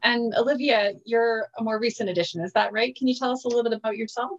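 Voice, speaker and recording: A woman hosting a podcast interview over a video call, measured and grateful toward her guests. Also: fairly high pitch, muffled recording. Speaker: podcast host.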